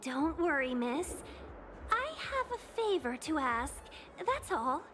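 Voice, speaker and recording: A woman's voice: sits high, sing-song, slow pace, fairly bright tone, exaggerated voice. A woman voicing a polite, scheming young female commissioner in a video game, with a courteous, coaxing manner. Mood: polite, apologetic. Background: game music.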